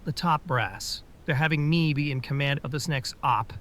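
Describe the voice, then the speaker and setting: A man voicing an earnest male military officer in a fan audio drama, measured and pleading. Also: on the high side, a bit bright, slight background noise, close to an everyday voice.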